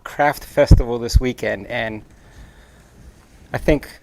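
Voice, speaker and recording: A man's voice: medium pitch, slow, gravelly, a little mellow, poor audio. A man in his thirties co-hosting a live craft stream from a workshop, chatting and teasing playfully while he works, picked up by a camera mic. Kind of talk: casual joking chat while crafting.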